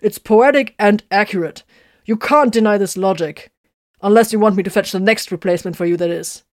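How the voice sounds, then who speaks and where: A woman's voice: medium pitch, normal speed, mellow, no room sound, clean studio sound, natural voice. A woman voicing a proud, pompous male space marine tech officer who speaks grandly and turns testy when challenged.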